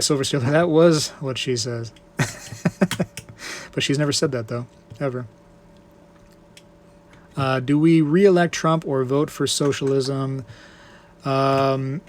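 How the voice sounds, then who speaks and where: A man with a slightly high-pitched voice hosts a relaxed live stream from home. He reads viewer comments aloud, jokes about them and rambles, chuckling between remarks. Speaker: livestream host.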